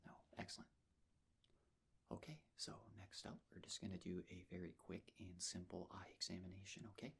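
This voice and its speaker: A man softly whispering an ASMR medical roleplay as a nurse, with a breathy, gentle, reassuring delivery. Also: sits low, steady tone, a little fast. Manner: gentle, reassuring, personal attention.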